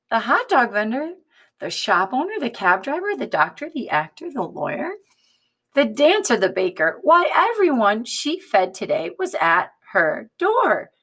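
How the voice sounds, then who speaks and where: A woman reading a picture book aloud like a teacher, expressive and instructive, addressing young children with questions. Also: fairly high pitch.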